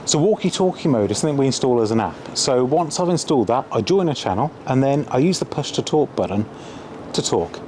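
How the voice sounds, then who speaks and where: A man's voice: medium pitch, lively intonation, brisk. A man chatting casually as he takes part in a tech demonstration. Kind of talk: casual chat. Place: racing circuit, in cars and outdoors. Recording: noisy car and outdoor ambience.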